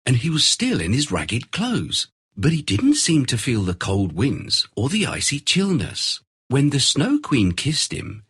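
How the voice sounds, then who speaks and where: Very husky, animated male storyteller. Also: mid-range voice. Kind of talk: scripted fairy-tale narration.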